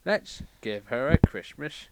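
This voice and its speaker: A man playing a crabby, boastful railway boss who reads a storybook aloud with irreverent, deadpan asides. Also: medium pitch, slow, very noisy. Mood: wry storytelling. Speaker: comic storybook reader. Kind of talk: irreverent ad-libbed storybook reading.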